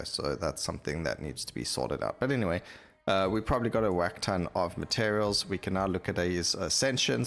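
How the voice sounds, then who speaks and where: Low, gravelly man commentating on a game. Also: a bit bright. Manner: thinks aloud while reading out on-screen stats. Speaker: gaming YouTuber host. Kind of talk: casual gameplay commentary.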